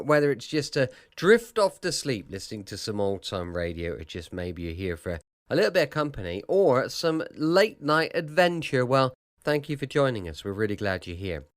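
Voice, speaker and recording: A man hosting a late-night podcast with a relaxed, chatty introduction. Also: medium pitch, normal speed, no room sound, clean studio sound. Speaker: podcast host.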